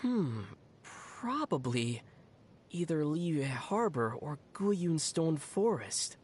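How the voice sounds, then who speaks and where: A sensible young female adventurer in a video game. The voice is low and measured, yet moves expressively with a wry, teasing edge. There is faint noise behind it. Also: big pitch swings, a little mellow, full character voice. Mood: thoughtful, curious.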